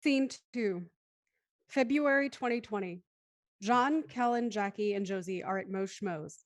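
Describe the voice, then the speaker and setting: A woman's voice: mid-range voice, unhurried, muffled recording. A woman reading stage directions as the narrator of a live scripted table read.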